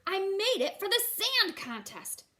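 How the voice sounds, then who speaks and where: Woman voicing an eager, high-pitched stubborn little boy. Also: big pitch swings, measured pace, pushed and bright, exaggerated voice. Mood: defiant. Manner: emphatic, exclaiming.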